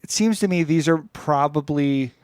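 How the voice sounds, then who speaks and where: A man co-hosting a comedy podcast, musing in a halting, mock-earnest way about absurd ideas in casual chat. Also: on the high side, a little mellow, clear, resonant tone, dry recording, studio quality.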